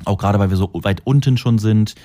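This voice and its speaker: Podcast host, male, low warm voice, loose rambling solo chat with many self-corrections. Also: a little fast. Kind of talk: casual solo podcast talk. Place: holiday rental in Florida.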